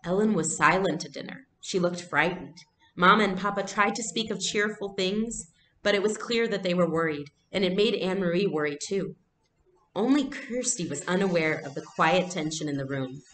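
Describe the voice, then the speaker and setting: A woman's voice: fairly low pitch, animated, moderate pace, a bit bright, echoey room, a little hiss or hum, low-quality recording. A young adult woman giving a read-aloud lesson as a teacher, explaining and narrating, recorded at home on a computer mic. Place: small furnished room at home.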